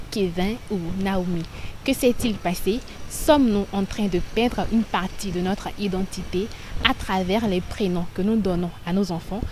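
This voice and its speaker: A woman TV presenter, delivering a scripted introduction in a clear, measured way. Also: mid-range voice, darkish tone, slight background noise.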